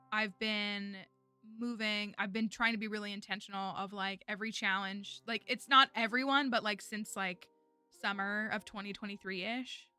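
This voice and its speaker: A woman's voice: mid-range voice, pushed and bright, clear, resonant tone. A young woman streamer, chatting casually and rambling with lots of fillers and restarts. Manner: rambling, filler-heavy, frequent restarts and laughs. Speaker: streamer and online teacher. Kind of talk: casual livestream chat. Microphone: headset or desk mic. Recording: music bed playing faintly underneath.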